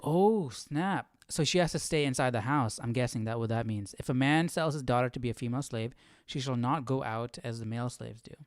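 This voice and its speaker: A man with a smooth voice, recorded dry and close. He reads aloud informally as a livestream study host, often pausing to question himself and muse over the meaning. His pitch sits in a middle range. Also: no echo. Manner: musing, hesitant, self-questioning. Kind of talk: informal reading aloud and thinking out loud.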